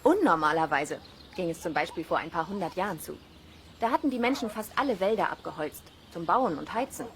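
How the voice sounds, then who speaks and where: Casting: friendly wolf expert, lowish voice with animated melody, patient and amused, children's audio drama. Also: female voice, brisk. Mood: friendly, instructive. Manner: patient, lightly amused explaining. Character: friendly, knowledgeable woman who looks after wolves. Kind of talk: children's radio play dialogue. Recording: faint cassette noise.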